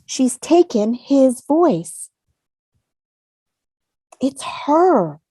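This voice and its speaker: A woman's voice: high voice, big pitch swings, extremely slow, mellow, husky, dry recording, clean studio sound, exaggerated voice. A woman playing a frightened but resolute cabin attendant in an amateur drama read over a video call. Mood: dawning horror.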